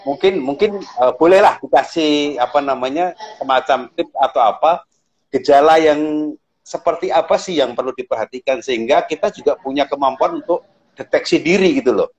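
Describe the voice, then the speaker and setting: A man's voice: fairly high pitch, moderate pace, a little mellow, muffled recording. A man hosting a live online chat, asking hesitant, winding questions with many fillers. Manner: hesitant questions, frequent backchannel. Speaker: host.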